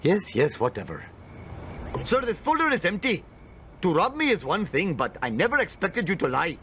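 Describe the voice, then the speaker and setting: A man's voice: high-pitched, wildly swooping pitch, normal speed, a bit bright, slight background noise, heavily acted. A male actor playing an earnest, polite Indian shopkeeper in a cartoon game, with an Indian accent and formal, stilted phrasing. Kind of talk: video game cartoon cutscene acting.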